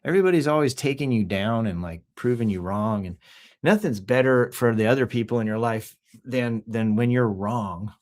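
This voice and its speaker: A middle-aged man hosting a livestream, talking casually and jokily in an animated, rambling, off-the-cuff way with rhetorical questions. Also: medium pitch, moderate pace. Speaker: host of a livestream news show. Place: office.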